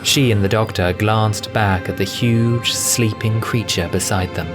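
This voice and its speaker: A man's voice: on the low side, fairly even intonation, a little slow. A man narrating a scripted story as the narrator of an audio drama. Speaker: narrator, voice actor. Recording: music bed under voice. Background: music.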